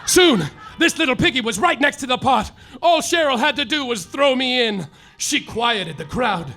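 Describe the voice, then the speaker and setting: A man playing a hapless hardboiled private detective, narrating in a wry noir deadpan on a live stage. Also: very high-pitched, normal speed, faint music behind, heavily acted. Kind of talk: live comedic radio-play narration.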